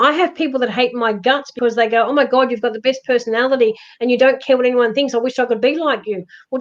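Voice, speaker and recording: A woman with a loud voice, giving emphatic, reassuring life advice in a casual live-stream chat. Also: medium pitch. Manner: emphatic, reassuring, repeats herself. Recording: dull lo-fi stream audio.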